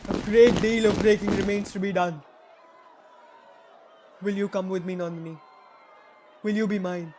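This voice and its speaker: A solemn king played by a man who has turned rebel, speaking in a high male voice. The delivery is theatrical and resolute, made for an audio drama. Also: steady tone, moderate pace, pauses often, a little mellow, a faint crowd, slight background noise, close to an everyday voice. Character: rebellious male king, grave, resolute, turned against his own rule. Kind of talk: theatrical stage-play acting in an audio drama.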